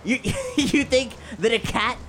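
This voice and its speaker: A woman's voice: a little high, wildly swooping pitch, slow, soft background music, full character voice. A woman playing a mocking, cocky kid bully who taunts and jeers in a horror roleplay. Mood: jeering. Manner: taunting, jeering, laughing. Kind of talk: improvised horror roleplay audio drama.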